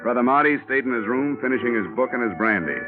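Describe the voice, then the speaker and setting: An actor playing a wry, wisecracking insurance investigator who narrates a 1950s radio detective drama. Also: male voice, mid-range voice, lively intonation, fast, lightly acted. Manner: wry, wisecracking, hard-boiled. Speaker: lead and narrator. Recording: tinny old radio broadcast with music.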